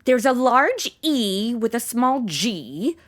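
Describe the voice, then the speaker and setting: A woman playing an irreverent, slangy American sidekick in a comedy audio drama, expressive and flippant. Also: on the high side, slow pace. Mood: curious.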